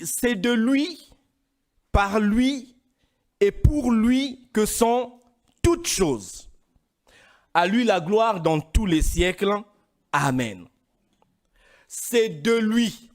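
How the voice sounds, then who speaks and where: A male preacher from Congo delivering a sermon in a high voice that swings widely in pitch. He speaks slowly and deliberately, climbing into louder, emphatic runs. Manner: emphatic, rising into louder, higher passages.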